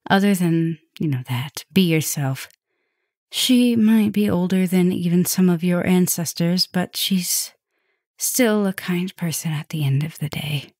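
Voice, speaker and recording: A woman playing a tender, gently dominant vampire professor in an intimate ASMR roleplay, speaking softly and soothingly, often slipping toward a whisper. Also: on the low side, no room sound, clean studio sound. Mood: calm, cautioning. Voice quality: soft, breathy, often dropping to a whisper. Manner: slow, soothing, affectionate murmuring with pauses.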